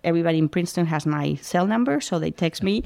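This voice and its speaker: A middle-aged woman from Spain, a food pantry founder chatting as a guest in a halting, restarting way. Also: lowish voice, expressive, gravelly. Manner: warm, halting, many restarts. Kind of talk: casual interview talk.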